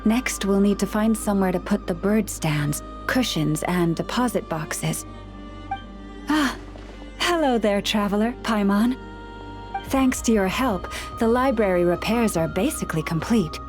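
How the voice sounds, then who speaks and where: A woman voicing a gentle, wise woman in a video game who speaks with animals, talking in a calm, measured, softly reflective way. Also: mid-range voice, music in the background, close to an everyday voice.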